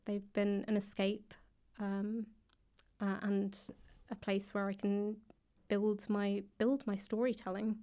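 A woman's voice: medium pitch, flat delivery, slow, dark tone, dry recording. A British woman speaking as a podcast guest, reflecting in a thoughtful, articulate way. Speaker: author and actor, podcast guest. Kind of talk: reflective spoken commentary.

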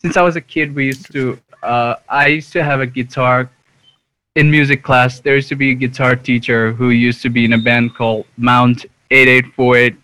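A young man from Nepal chatting casually as a podcast guest over a remote call, relaxed and hesitant with fillers. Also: mid-range voice, moderate pace, clean tone, no echo, rough recording. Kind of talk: casual chat.

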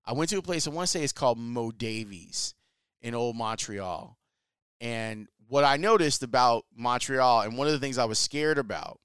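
A man's voice: medium pitch, a bit bright, clear, resonant tone, no room sound, studio quality. A man casually telling a travel story in a chatty, enthusiastic way, using slang. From Chicago, US. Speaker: sports reporter.

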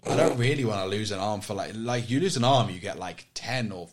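Low-voiced man chatting. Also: brisk. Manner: sweary, wry storytelling. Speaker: podcast co-host. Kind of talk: casual chat.

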